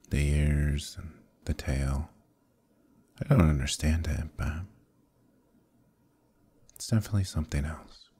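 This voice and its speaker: A man playing a smugly menacing evil knight in an ASMR roleplay, speaking in a slow, intimate, condescending monologue with softly threatening delivery. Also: full character voice. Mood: curious, intrigued. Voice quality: very deep, gravelly, husky.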